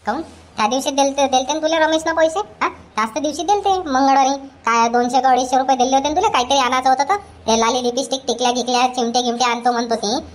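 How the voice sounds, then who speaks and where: A woman voicing a nagging, scolding old mother-in-law in a cartoon comedy, with a rapid ranting way of talking. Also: high-pitched, close to an everyday voice.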